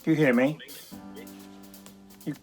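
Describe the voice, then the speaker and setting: A man's voice: on the high side, fairly even intonation, takes it slowly, faint music behind. A man speaking casually, as an audio drama director keeping a behind-the-scenes video diary.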